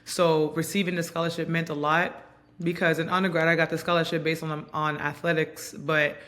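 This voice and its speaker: A woman in her thirties from Montreal, whose first language is Haitian Creole, giving reflective, earnest interview answers with frequent pauses and ums. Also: sits low, level tone, a bit bright. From Montreal, Canada. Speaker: guest, basketball player and coach.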